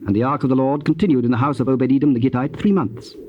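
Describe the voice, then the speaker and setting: A man narrating dramatized scripture in a measured, formal storytelling manner. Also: medium pitch. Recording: dull tape with faint noise.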